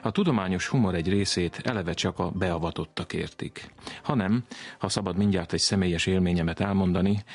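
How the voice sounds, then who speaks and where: A man's voice: low-pitched, no room sound. A man hosting a programme, narrating in a conversational, explanatory way and telling anecdotes. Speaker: radio programme host.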